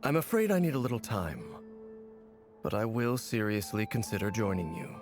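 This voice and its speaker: An actor playing a guarded, reserved man in a video game, speaking in a formal, solemn, measured manner. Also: lowish voice, big pitch swings, over music. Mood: solemn, apologetic. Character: guarded, reserved man weighing joining a fight. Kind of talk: video game drama acting.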